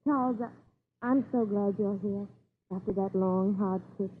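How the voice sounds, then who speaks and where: A woman's voice: mid-range voice, moderate pace, natural voice. Affectionate young colonial lady, played by a woman, spirited, old-time radio drama, narrow-band crackly recording. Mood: fond, fussing. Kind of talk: 1930s radio acting.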